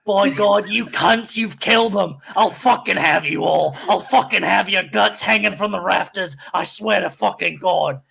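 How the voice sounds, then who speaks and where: A man voicing an enraged Australian man who swears and threatens, in a loud, high, gravelly voice. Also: normal speed, hardly any pauses, exaggerated voice.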